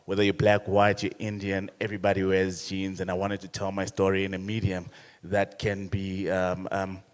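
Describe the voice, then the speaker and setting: Low-voiced man in a reverberant hall. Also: normal speed. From South Africa. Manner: relaxed, filler-heavy. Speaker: panelist, fashion designer. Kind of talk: panel introduction. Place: conference hall.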